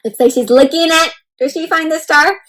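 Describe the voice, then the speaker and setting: A woman doing an interactive children's read-aloud, expressive and full of exclamations, often putting questions to young listeners. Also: high-pitched, moderate pace, very noisy. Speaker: host reading a picture book aloud to children.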